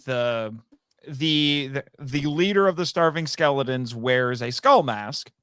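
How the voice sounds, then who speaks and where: Male podcast guest, crisp resonant voice, conversational storytelling, very dry sound. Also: medium pitch, takes it slowly, a bit bright, no room sound. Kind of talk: casual chat, explaining a story.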